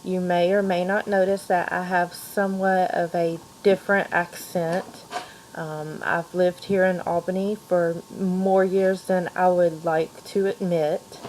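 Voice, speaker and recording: A woman of thirty from Kentucky with a mixed Southern accent, quietly introducing herself at home late at night, with many ums. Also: lowish voice, little pitch movement, a little slow, a little hiss or hum, poor audio. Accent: mixed Kentucky and Georgia Southern. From Louisville, Kentucky. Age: 30s. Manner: soft-spoken, frequent ums. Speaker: student vlogger. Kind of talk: personal introduction video.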